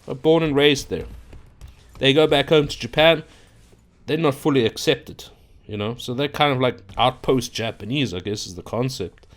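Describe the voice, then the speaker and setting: A man's voice: medium pitch, normal speed. Rambling man, unscripted. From South Africa. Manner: rambling, loose, many fillers and restarts. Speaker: YouTuber. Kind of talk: unscripted casual monologue to camera. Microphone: own microphone at a computer.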